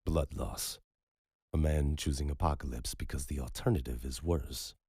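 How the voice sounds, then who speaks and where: A man's voice: a bass voice, steady tone, moderate pace, a little mellow, slightly rough, no echo, heavily acted. A man voicing a weary young doctor who speaks in a dry, muttered way.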